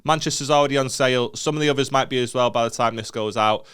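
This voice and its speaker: A young northern English man co-hosting a comedy podcast, teasing and quick to laugh. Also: mid-range voice, fairly bright tone, clear, resonant tone, no echo, clean studio sound. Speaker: podcast co-host. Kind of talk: casual comedy chat.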